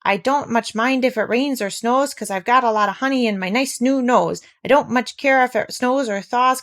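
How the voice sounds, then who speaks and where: A woman's voice: fairly high pitch, a bit bright, voice pushed up. A woman voicing a cheerful, simple-minded male teddy bear who talks in an eager, singsong way.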